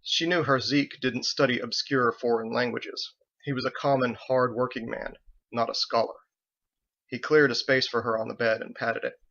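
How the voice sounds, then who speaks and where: A man's voice: mid-range voice, steady tone, moderate pace, creaky, a bit bright, highs cut off. A man reading scripted audiobook narration, an author telling his own story.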